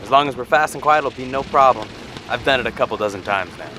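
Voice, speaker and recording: Actor as a folksy military pilot amid loud din. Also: male voice, medium pitch, quick pace, natural voice. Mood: calm, reassuring. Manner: folksy, courteous, plain-spoken. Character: folksy, courteous, seasoned male military chopper pilot. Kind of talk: audio drama acting. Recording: loud engine and battlefield noise effects.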